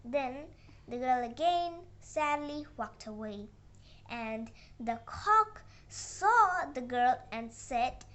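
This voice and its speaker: A child narrating a moral fairy tale with halting, uneven phrasing and dramatic emphasis on the dialogue. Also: high voice, animated, bright, forceful tone. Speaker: storyteller.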